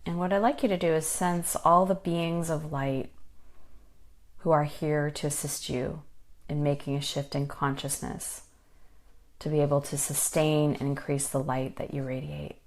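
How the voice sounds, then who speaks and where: A woman leading a guided meditation in a soothing, unhurried manner, giving gentle instructions with pauses. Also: low-pitched. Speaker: meditation guide and life coach.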